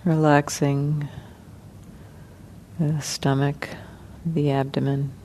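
Slow, unhurried woman guiding meditation. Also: deep voice, little pitch movement, slight background noise. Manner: gentle, unhurried, with long pauses between short phrases. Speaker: meditation teacher. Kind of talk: guided meditation.

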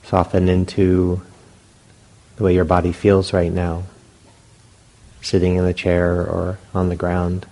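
A man with a very deep, gravelly voice guides a meditation. His tone is dark and mellow, and he keeps it fairly even. He speaks gently and unhurriedly in short phrases, leaving long pauses between them. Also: rough recording. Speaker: meditation teacher.

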